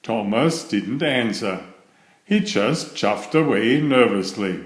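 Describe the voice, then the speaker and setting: A man reading a children's bedtime story aloud in a deliberate, expressive storytelling manner. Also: fairly low pitch. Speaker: storybook reader. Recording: roomy, echoey sound.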